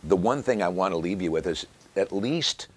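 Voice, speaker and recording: A man's voice: low-pitched, lively intonation, normal speed, crowd noise. An American man in his sixties, a professional announcer, talking reflectively about his career as in an interview. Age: 60s. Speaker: stadium announcer and voiceover artist.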